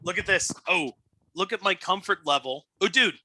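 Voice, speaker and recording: A man's voice: sits high, animated, moderate pace, sharp tone, slight background noise. A man in his thirties with an American accent, bantering casually as a podcast co-host, teasing and swearing freely. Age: 30s.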